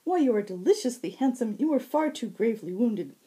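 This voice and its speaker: A woman's voice: a little high, expressive, brisk, dark tone, voice pushed up, close to an everyday voice. A woman voicing a playful, teasing male fox fairy herald with courtly, flowery phrasing and a silvery tone, in a fantasy story reading. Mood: amused, teasing.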